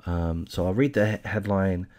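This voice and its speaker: A man's voice: low-pitched, moderate pace, darkish tone. A man hosting a true crime channel, casually reading a news article aloud with lots of hesitant fillers and restarts, and giving blunt opinions.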